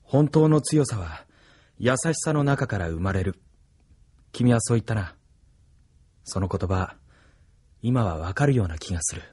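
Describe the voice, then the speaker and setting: An actor playing a remorseful, gentle soldier in an intimate anime audio drama. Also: male voice, on the low side, expressive, measured pace, pauses often, husky, no echo, studio quality. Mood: sorrowful, tender.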